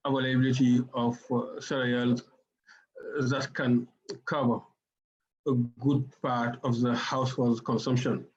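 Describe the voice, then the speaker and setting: A man with a Senegalese accent giving a formal speech as an ambassador, halting, with many filler sounds and restarts. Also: medium pitch, darkish tone, muffled recording. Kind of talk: formal speech at an online side event.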